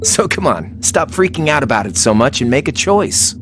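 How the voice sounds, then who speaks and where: A young man with a cocky, flippant swagger, voiced by a male actor, talks in a very lively, rising and falling mid-pitched voice. He is a laid-back, teasing rebel from a game, casual in his phrasing. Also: normal speed, music in the background, close to an everyday voice. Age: young adult. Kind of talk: video game voice acting.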